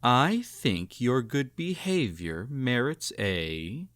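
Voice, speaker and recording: A man's voice: mid-range voice, very animated, takes it slowly, a bit bright. A man playing a cruel, possessive emperor in a roleplay audio drama, speaking in a formal, pompous, teasing and condescending way. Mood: silky, commanding. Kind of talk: scripted roleplay audio drama, intimate address to listener.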